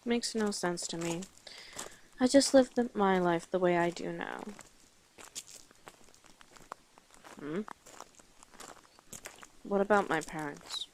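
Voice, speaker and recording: A woman playing a small, wry orc traveler in an immersive audio roleplay, gruff but caring, with a dry, hesitant, matter-of-fact manner. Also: fairly low pitch, a little slow, pauses often, a little mellow, poor audio. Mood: reflective, guarded. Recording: clear outdoor nature ambience noise.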